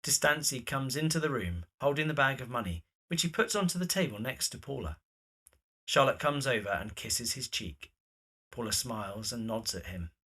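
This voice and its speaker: A man's voice: mid-range voice, lively intonation, moderate pace, sharp tone, slightly rough. A man reading scripted scene narration as the narrator of a period drama.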